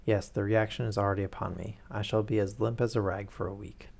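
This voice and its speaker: An aloof, rational detective speaks in measured, dry sentences, as a man reading aloud voices him. The voice is low and gravelly. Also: flat delivery, darkish tone. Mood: cool. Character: cool, aloof male detective, reasoning.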